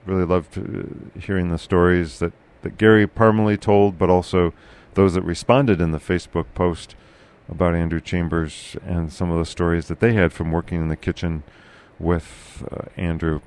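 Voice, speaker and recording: A man hosting a casual local podcast chat, with a loud, carrying voice, talking haltingly with many fillers and restarts. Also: low voice, little pitch movement. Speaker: podcast host.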